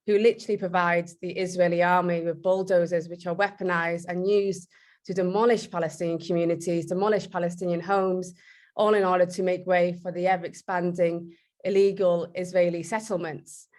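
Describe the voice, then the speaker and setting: Young woman, flat steady voice. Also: lowish voice. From Britain. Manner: earnest, steady, narrative. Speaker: activist speaker. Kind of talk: prepared talk at an online meeting. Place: home room, indoors. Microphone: video call.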